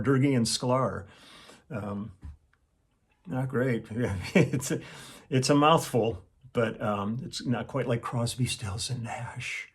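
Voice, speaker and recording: A man's voice: medium pitch, husky. An older man, a session musician, reminiscing in a casual, rambling monologue full of restarts and quoted asides. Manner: rambling, self-interrupting, with chuckles and quoted asides. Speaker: session musician hosting his own channel.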